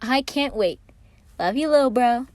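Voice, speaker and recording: Woman acting an excitable, high-pitched sweet big brother. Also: a little slow, dry recording. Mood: excited, affectionate. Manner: gushing, affectionate.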